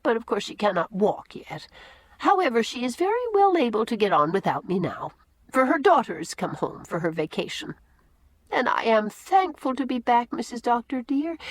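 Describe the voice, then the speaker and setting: A woman's voice: fairly high pitch, no echo. A woman doing a fussy, chattering old housekeeper. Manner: talkative, quaint rural turns of phrase. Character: chatty older female housekeeper, rustic and fussy.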